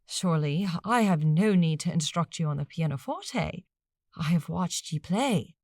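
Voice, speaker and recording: A woman voicing a courteous, rueful eighteenth-century gentleman in an audiobook, with a formal, measured manner. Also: lowish voice, expressive, no room sound, studio quality. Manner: formal, measured, old-fashioned wording. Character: courteous, rueful 18th-century gentleman, a lonely cursed man.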